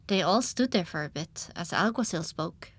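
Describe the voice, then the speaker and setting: A woman's voice: on the low side, animated, normal speed, no room sound. A woman reading scripted first-person story narration with a descriptive storytelling delivery. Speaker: audiobook narrator.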